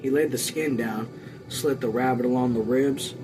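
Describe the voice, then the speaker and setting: A man's voice: medium pitch, steady tone, moderate pace, low-quality recording. A man hosting a read-along, narrating a novel aloud with casual asides. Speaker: host reading a novel aloud. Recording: music behind.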